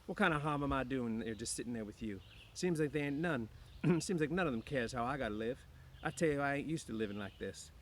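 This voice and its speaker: Man voicing a discontented young wife, raised pitch. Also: lively intonation, a little fast, few pauses, slight background noise, close to an everyday voice. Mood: indignant. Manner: rambling, slangy, uneducated rural speech. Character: young, discontented, dreamy small-town wife, chatty and bitter. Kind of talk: audiobook character voice.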